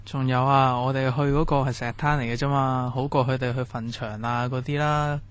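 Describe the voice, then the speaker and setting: A man's voice: medium pitch, level tone, fairly quick, clear, resonant tone, natural voice. A man acting a breezy, unworried young student in a Cantonese radio drama. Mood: casual, unworried. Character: breezy young male student, reassuring classmates.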